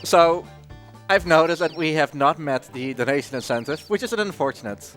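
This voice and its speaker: A man's voice: a little high, moderate pace, fairly bright tone, faint music behind. A man giving casual, joking live commentary as a speedrunner, chatty throughout.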